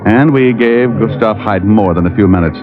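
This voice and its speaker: An actor playing a wry, laconic hard-boiled detective in an old radio noir drama. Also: male voice, on the low side, fairly quick, lightly acted. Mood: wry narrating. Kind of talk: 1940s-50s radio noir acting and narration. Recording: muffled vintage radio recording, organ music.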